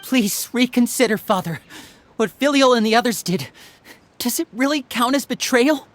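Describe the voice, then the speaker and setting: A man's voice: extremely high pitch, normal speed. An actor playing an earnest young man who pleads with heartfelt conviction. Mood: pleading. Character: earnest young man, devoted adopted son.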